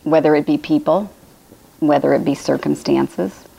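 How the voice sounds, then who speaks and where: A woman from Illinois with a raspy, cracking voice, giving a rambling, repetitive spiritual talk on a live stream at home. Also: deep voice, fairly even intonation, normal speed, warm tone, poor audio. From Illinois, USA. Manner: rambling, repetitive phrasing with frequent restarts. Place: home room with a fireplace, indoors.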